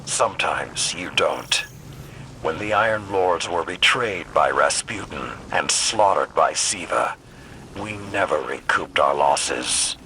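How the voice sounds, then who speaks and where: Very deep, gravelly old warlord. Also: male voice, lively intonation, a little hiss or hum, heavily acted, poor audio. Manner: measured, weighty, stern delivery. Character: grim old male warlord veteran, stern and unyielding. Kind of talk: dramatic video game cutscene acting. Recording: loud music and effects underneath.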